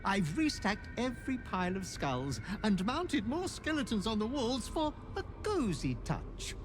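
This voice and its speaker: An actor playing a fawning, gleefully morbid fiendish butler in a video game, theatrical and obsequious, relishing every word. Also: male voice, high voice, very animated, a little slow, over music. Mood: gleeful, servile. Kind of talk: video game character acting.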